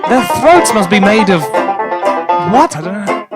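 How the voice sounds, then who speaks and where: A male guest improvising on a comedy podcast. His voice sits high for a man and swings about in lively fashion. Loud banjo music plays behind him. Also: unhurried.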